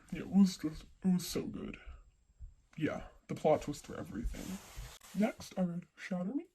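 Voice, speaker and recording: Voice-changer effect, dark mellow tone, medium pitch, casual book reviewer, rambling, slangy, informal vlog chat. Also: rough recording. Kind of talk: casual monthly reading wrap-up chat.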